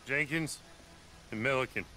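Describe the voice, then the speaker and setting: A man's voice: medium pitch, normal speed, lightly acted, rough recording. An actor playing a plain-spoken hired tough guy in a western video game. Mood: nervous. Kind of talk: dramatic video game acting. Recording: loud outdoor ambience and noise.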